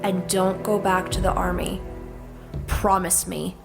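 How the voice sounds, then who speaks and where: Commanding female fighter, creaky, slow delivery, grave, guarded, fantasy audio drama. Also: lowish voice, flat delivery, music in the background. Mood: stern, urgent. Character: stern, commanding female warrior with a guarded heart.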